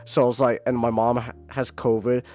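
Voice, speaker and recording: A man's voice: medium pitch, level tone, moderate pace, a little mellow, faint music behind. A man hosting a casual podcast, stuffed up and sick, rambling with stumbling restarts and casual swearing. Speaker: podcast host.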